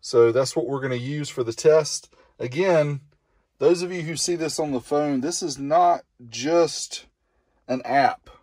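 A man's voice: fairly high pitch, a little slow, a little mellow, slightly rough, no room sound, studio quality. A middle-aged man hosting a casual car audio review, chatty and walking through specs step by step, recorded outdoors on a driveway and then inside a vehicle.

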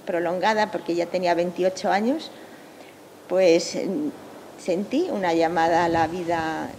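An older woman from Madrid, the superior of a monastery, calmly answering interview questions into a handheld mic outdoors. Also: lowish voice, unhurried, a little hiss or hum, rough recording. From Madrid, Spain. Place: cloister garden outdoors.

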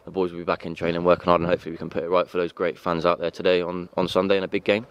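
A young man, a footballer giving an earnest, halting post-match interview at a pitch outdoors at night, speaking into a handheld mic. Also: little pitch movement, clean tone, no room sound, dull audio. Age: young adult.

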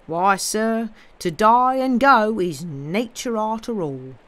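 A woman's voice: medium pitch, animated, takes it slowly, pitched above the performer's own voice. A woman voicing a plain-spoken rustic working man in a storybook, folksy, blunt and deferential. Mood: matter-of-fact.

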